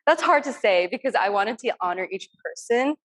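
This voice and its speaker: A woman's voice: on the high side, lively intonation, a little fast. A young woman from Turkey, an artist, talking casually and earnestly about her work with frequent ums over a video call. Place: home room on a video call.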